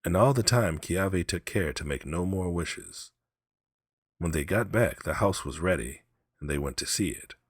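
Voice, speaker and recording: A man's voice: sits low, lively intonation, a little slow, no room sound, clean studio sound. A man reading a story aloud as scripted storybook narration. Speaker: audiobook narrator, story reader.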